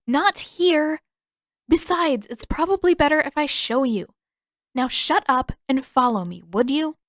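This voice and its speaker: Bossy, higher-pitched teenage girl, voiced by a woman. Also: animated, unhurried, no echo, studio quality. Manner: firm, sarcastic, commanding. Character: bossy, sharp-tongued, secretive teenage girl.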